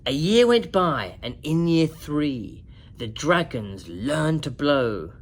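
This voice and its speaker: A woman reads a rhyming picture book aloud. Her voice sits a little low and moves slowly, with very lively dramatic swings for young listeners. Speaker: school principal reading to children. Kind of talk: expressive picture-book narration.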